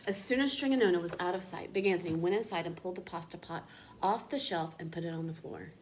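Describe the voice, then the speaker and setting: A woman reading a children's storybook aloud in an expressive, dramatic teacher's manner. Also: lowish voice, fast talker, slight background noise.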